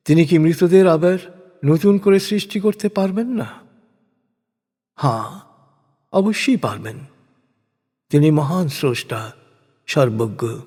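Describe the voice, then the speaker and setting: Male narrator for sacred text: slightly high pitch, very unhurried, solemn and declamatory, reverberant hall sound. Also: darkish tone. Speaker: reciter of a scripture translation. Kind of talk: solemn scripted religious narration.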